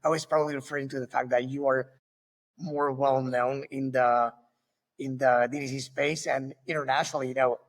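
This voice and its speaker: A man from Argentina with an Argentine Spanish accent, hosting a podcast interview in a friendly, halting way with small restarts; Spanish is his first language. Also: medium pitch, fairly even intonation, long reverb tail, low-quality recording. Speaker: podcast host. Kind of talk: casual interview.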